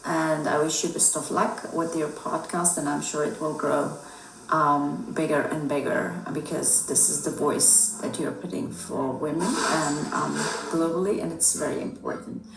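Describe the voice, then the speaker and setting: A middle-aged woman from Afghanistan, a native Dari speaker, answering interview questions in a thoughtful way with many pauses and fillers. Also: low voice, steady tone, gravelly, background noise. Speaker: guest. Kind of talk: conversational interview. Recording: echoey room, distant mic, music behind.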